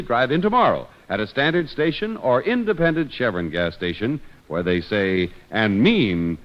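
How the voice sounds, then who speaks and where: An American man announcing and narrating from a script in old-time radio style. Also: medium pitch. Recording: dull old radio recording.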